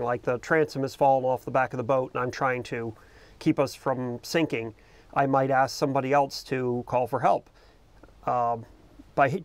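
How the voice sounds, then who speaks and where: A man's voice: on the high side, no room sound. A middle-aged American man explaining casually and informally, as a vlog host recording outdoors on a small boat. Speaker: boating video host.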